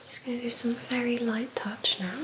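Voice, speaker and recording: Whispering woman, soft ASMR ear exam. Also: a little high, flat delivery. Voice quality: breathy, mostly unvoiced whisper. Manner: gentle, reassuring, unhurried, procedural. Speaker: ASMR performer playing a calm clinician doing an ear exam. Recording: lo-fi recording with noise and clicks.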